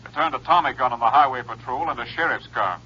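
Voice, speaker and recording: A worried ranger officer in an old radio drama. His American voice is husky and forceful, with clipped, urgent delivery. The sound is dull and dated. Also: mid-range voice, quick pace. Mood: grim, urgent. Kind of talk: 1950s radio western acting.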